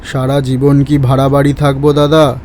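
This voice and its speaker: A man acts as a mysterious middle-aged taxi driver in an audio ghost story. His voice is dark and level, calm and cryptic, with faint vehicle noise behind it. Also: mid-range voice, moderate pace, natural voice. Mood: cryptic, resigned.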